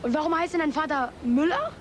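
An actress playing a flustered, eager schoolgirl in a German children's radio play, hesitant and excitable. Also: sits high, quick pace. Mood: hesitant, curious. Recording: clear background noise.

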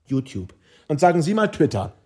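A man playing an absurd, insistent character in an experimental radio play, repeating himself in a gravelly, husky voice. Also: on the high side, sing-song, normal speed, darkish tone. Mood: insistent urging. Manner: repetitive, insistent.

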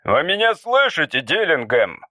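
A man's voice: fairly high pitch, big pitch swings, moderate pace, bright, forceful tone, no echo, clean studio sound, voice pushed up. Pompous male director with a speechmaker's ring, read by a man. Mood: commanding. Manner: oratorical, commanding.

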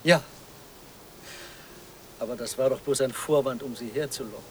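Man doing officious wordy organizer type in a radio play, mid-pitched voice, self-important, noise behind him. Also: normal speed, lightly acted. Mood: confiding. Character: officious, evasive male conference organizer. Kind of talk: German radio drama dialogue. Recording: clear background noise.

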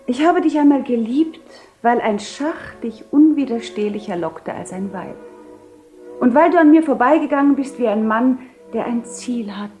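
A fairly high female voice, an actress as a proud, self-possessed widowed duchess in a 1970 radio play. She speaks with measured, solemn theatricality, with faint music behind her. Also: expressive, dark tone, lightly acted. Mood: composed, reproachful.

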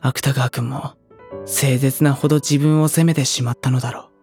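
A man's voice: mid-range voice, steady tone, normal speed, a little mellow, natural voice. Earnest teenage schoolboy narrating over music, acted by a man. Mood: pensive. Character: anxious teenage schoolboy narrator, introspective and hesitant. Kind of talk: Japanese audio drama acting and inner-monologue narration.

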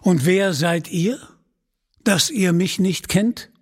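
Storybook outlaw archer, young, bold, forthright, unhurried and fairly high male narrator acting it out. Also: husky, exaggerated voice. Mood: proud, confident.

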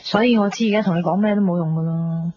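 A woman's voice: fairly low pitch, quick pace, a little mellow, dry recording, lightly acted. An actress playing a bold, stubborn young woman in a 1990s Hong Kong radio drama, speaking colloquial Hong Kong Cantonese with sighs and exclamations. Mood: scheming, brazen. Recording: muffled 1990s radio broadcast with music.

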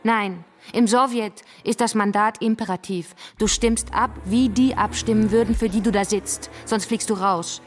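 A woman's voice: medium pitch, fairly even intonation, moderate pace, few pauses, soft background music, lightly acted. An actress playing a fervent revolutionary woman in a German radio drama, arguing in a scornful, didactic way. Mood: scornful, insistent. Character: fervent, combative revolutionary woman defending the workers' councils. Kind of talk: German radio drama dialogue, polemical debate.

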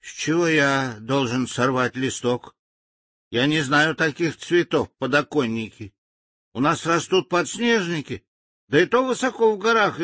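A man's voice: fairly high pitch, pushed and bright, no echo, clean studio sound, voice pushed up. A man voicing a timid, naive male native who takes every word literally and speaks meekly. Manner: bewildered, meek and literal-minded.

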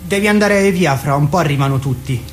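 A man's voice: a little high, a little fast, faint music behind, lightly acted. A man acting in a radio play as a rigid, anguished substitute teacher in a secret affair, guarded, pedantic and melancholy. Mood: terse, evasive.

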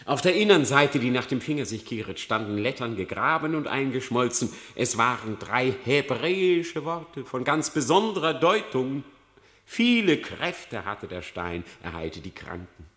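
A man's voice: medium pitch, very animated, a little slow, fairly bright tone, roomy sound. An actor playing a cunning male fox on trial in a radio play, pleading and then defiant. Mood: wheedling. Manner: pleading, wheedling, rising to bold defiance.

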